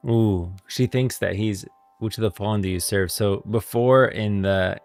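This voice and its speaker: A man's voice: lowish voice, a little slow, a little mellow, faint music behind. A man giving casual, opinionated live commentary on game lore as a streamer, often repeating and rephrasing his points.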